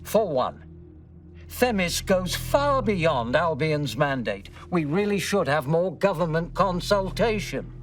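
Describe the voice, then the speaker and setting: An actor playing a principled, concerned police commissioner in a video game, formal and earnest in manner. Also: male voice, on the high side, big pitch swings, slow pace, recorded from a distance, faint music behind. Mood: earnest, challenging. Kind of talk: video game cutscene acting.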